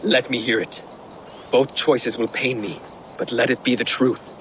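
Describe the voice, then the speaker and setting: An actor playing a proud, loyal Viking jarl in a video game, speaking in a solemn, formal manner. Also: male voice, mid-range voice, fairly even intonation, a little slow, fairly bright tone, slight background noise, lightly acted. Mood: troubled.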